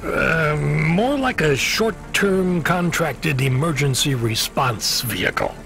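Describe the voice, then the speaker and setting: Gravelly, weary male dispatcher. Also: a little high, animated, slow pace, fairly bright tone, faint music behind, heavily acted. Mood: evasive, businesslike. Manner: hesitant, hedging, folksy. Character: weary, avuncular male dispatcher, awkwardly apologetic. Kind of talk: video game voice acting.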